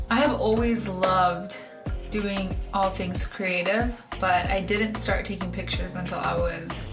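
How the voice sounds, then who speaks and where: Chatty young woman in an echoey room. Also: fairly low pitch. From Bogota, Colombia. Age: young adult. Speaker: co-host, photographer. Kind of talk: casual couple chat.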